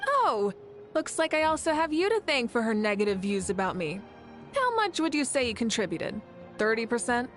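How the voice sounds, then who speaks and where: A self-assured game heroine who loves to tease, with a slightly high, easy voice. She talks in a relaxed, generous and playful way. Soft game music sits behind her. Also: normal speed. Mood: teasing. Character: confident, playful female leader who likes to tease. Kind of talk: video game voice acting.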